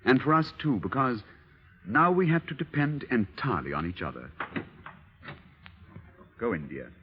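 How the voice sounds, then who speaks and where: An actor playing an adoring, condescending husband in theatrical 1930s radio style, on a muffled old recording. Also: male voice, medium pitch, sing-song, normal speed, a little hiss or hum, lightly acted. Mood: somber. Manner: patronizing, ardent, theatrical. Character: adoring, condescending middle-class husband in a period drama.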